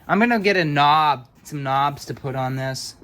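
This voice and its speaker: A man's voice: fairly high pitch, moderate pace, a bit bright. A man giving casual, hands-on commentary as a hobbyist electronics repairer, offhand and muttering as if to himself.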